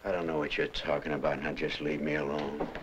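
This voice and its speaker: An American actor playing a troubled, middle-aged consulate employee under questioning, hesitant and defensive, in an old film. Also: male voice, rumbling low, flat delivery, a little fast. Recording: old film soundtrack with hiss.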